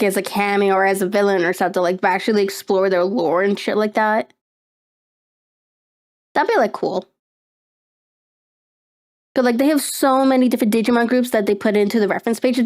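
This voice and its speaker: A young woman streamer chatting casually on a live stream, rambling and excitable, with lots of fillers and laughter. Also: medium pitch.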